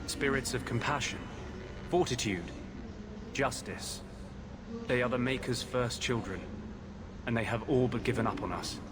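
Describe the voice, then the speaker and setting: Tormented rebel mage for fantasy game, male actor: earnest, intense, brooding, mid-pitched, noisy backdrop. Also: lightly acted. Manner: earnest, intense, halting confessions. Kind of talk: dramatic video game dialogue acting.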